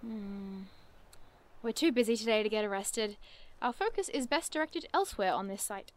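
A woman's voice: mid-range voice, normal speed, lightly acted. A woman playing a curious, bookish cryptid investigator in an audio drama, earnest and wordy with dry playfulness. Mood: thoughtful, intrigued. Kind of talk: audio drama acting, naturalistic dialogue.

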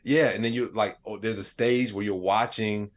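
A man's voice: lowish voice, fairly even intonation, normal speed. A man speaking as an interview guest, telling stories in a casual, rambling way with lots of "like" and "you know". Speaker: interview guest, comedian and AI storyteller. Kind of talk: casual conversational storytelling.

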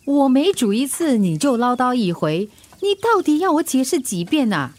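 A woman's voice: high voice, big pitch swings, moderate pace, a little mellow. An actress playing a proud, feisty young single mother in a radio drama, snappy and protesting, with a Singaporean accent. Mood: exasperated.

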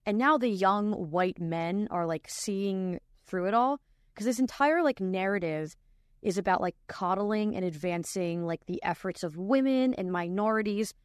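A woman's voice: mid-range voice, a little slow, smooth, no room sound, clean studio sound. A woman hosting a podcast, giving casual reaction commentary in a chatty way with lots of fillers and restarts. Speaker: podcast host.

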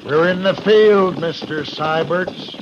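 An actor playing a resolute, blunt frontier cavalry captain in a 1950s radio western, with clipped, commanding delivery. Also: male voice, sits high, takes it slowly. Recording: muffled old radio recording with clear noise.

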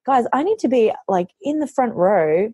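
Woman, hoarse, husky, dry close mic, animated storyteller, podcast chat. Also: on the high side, moderate pace, no room sound. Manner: animated storytelling, quotes herself. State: hoarse after losing her voice. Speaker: author.

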